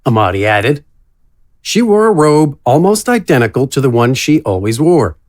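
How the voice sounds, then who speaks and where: Animated male fantasy storyteller. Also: mid-range voice, moderate pace. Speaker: narrator. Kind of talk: scripted fantasy audio narration.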